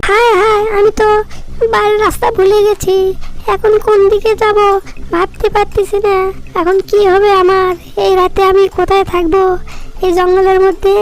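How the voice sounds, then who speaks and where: A man's voice: very high-pitched, monotone, moderate pace, voice pushed up. A man voicing a small lost duck in a cartoon story, speaking in a fretful, worried way. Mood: frightened.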